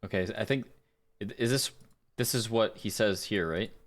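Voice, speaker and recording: Low-voiced man chatting on a livestream. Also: moderate pace. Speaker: livestream host doing voice acting. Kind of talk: casual livestream chat.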